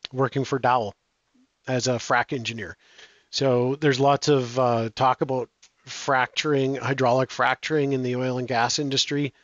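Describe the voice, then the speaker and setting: A middle-aged man answering interview questions in a relaxed, halting way, with many restarts and fillers. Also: medium pitch, dry recording, lo-fi sound. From Canada. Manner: hesitant, frequent restarts and fillers. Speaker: guest.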